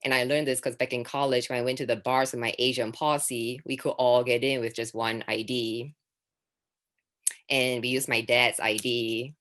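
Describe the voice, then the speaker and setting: Low-voiced deadpan woman doing stand-up. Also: moderate pace. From Singapore. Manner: deadpan delivery with sudden high-pitched exclamations. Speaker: stand-up comedian. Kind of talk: stand-up set.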